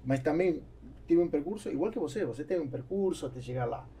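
A man's voice: a little high, lively intonation, fast, mellow, breathy edge. A man, explaining things through anecdotes as a guest in a studio conversation. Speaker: podcast guest, business owner. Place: small foam-treated podcast studio. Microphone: boom-arm studio microphone, close.

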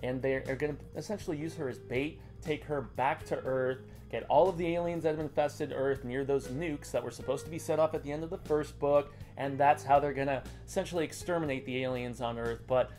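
A man's voice: medium pitch, brisk, hardly any pauses, darkish tone, faint music behind. A man in his thirties with an American accent, giving a casual, conversational comic review as co-host. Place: small indoor room lined with comic shelves.

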